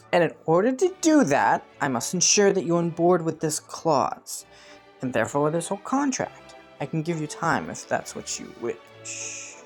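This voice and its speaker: Young ambitious prince played by a man for romantic fantasy roleplay: lighter pitch, courtly diction, gently hesitant, scheming but earnest, faint music underneath. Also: big pitch swings, a little slow. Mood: solemn, resolved. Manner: formal courtly phrasing, softly hesitant at times. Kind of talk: romantic fantasy audio roleplay addressed to listener.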